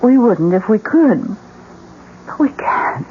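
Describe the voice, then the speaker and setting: An actress playing an anxious, polite wife in a radio drama, with a hesitant, distressed way of speaking. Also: female voice, a little high, sing-song, slow. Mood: distressed, sympathetic. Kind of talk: 1970s radio drama acting. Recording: muffled, noisy 1970s radio recording.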